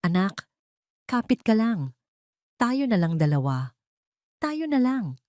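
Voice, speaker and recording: Woman acting a pleading, heartbroken young wife, raised pitch. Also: big pitch swings, takes it slowly, mellow, dry recording, studio quality. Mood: tearful. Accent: Filipino. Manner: pleading, trembling.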